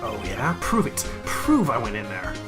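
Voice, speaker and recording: A man's voice: lowish voice, huge pitch swings, moderate pace, pitched below the performer's own voice. A man voicing a shifty, defiant murder witness in a video game, stammering and ranting, with music behind him. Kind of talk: hobby video game dub.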